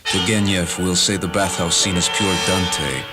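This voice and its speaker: Guy doing a deep-voiced time travelling parasite, fervent n grandiose, radio play w music behind. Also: steady tone, natural voice. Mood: earnest, insistent. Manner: grandiose, deliberate, relishing suffering. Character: parasitic time traveler from the far future inhabiting a man, fervent, eloquent. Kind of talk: radio drama acting over music. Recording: voice over a music bed. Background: clear background music.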